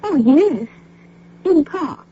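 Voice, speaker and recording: An actress playing a polite, devoted foreign nurse-receptionist in an old radio drama, formal and halting in manner. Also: female voice, sits high, big pitch swings, dark tone, full character voice. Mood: reverent, courteous. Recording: muffled old radio recording.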